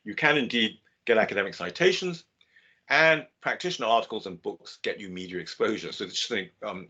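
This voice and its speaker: An older man, a business professor, giving a measured webinar lecture with occasional fillers and restarts. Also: lowish voice, sharp tone, slightly rough. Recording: dull lo-fi webinar audio.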